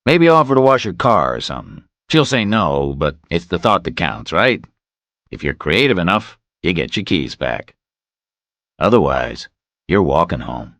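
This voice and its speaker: A male narrator plays a tough male drifter with an extremely deep voice. He is self-assured and dryly sarcastic, handing out taunts and orders with a lively swing in pitch. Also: normal speed, dry recording. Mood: dry sarcasm. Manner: dry, sarcastic, commanding.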